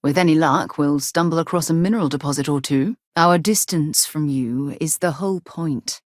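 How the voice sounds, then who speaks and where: An actress playing an earnest, principled woman space explorer in a video game, speaking in an articulate, expressive way. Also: low-pitched, moderate pace, no echo, clean studio sound, close to an everyday voice. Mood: composed, thoughtful. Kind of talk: video game character dialogue.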